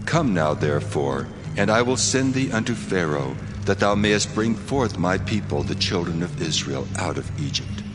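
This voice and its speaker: Deep, cavernous, solemn voice of God. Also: male voice, animated. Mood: grave, compassionate. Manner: solemn, declaratory, measured. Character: God, solemn commanding male deity speaking from fire. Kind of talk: dramatized scripture reading. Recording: long cavernous reverb, music behind.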